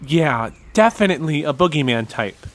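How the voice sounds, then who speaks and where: A man playing a thoughtful young folklore buff in an audio drama, explaining things in a measured, conversational way. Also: fairly high pitch, lively intonation, a bit bright.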